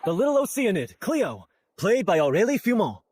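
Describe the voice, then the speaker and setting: An actor voicing an eager young theater troupe member in a video game, with an earnest, animated manner. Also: male voice, high-pitched, normal speed, slightly rough, full character voice. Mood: announcing. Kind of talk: scripted video game voice acting.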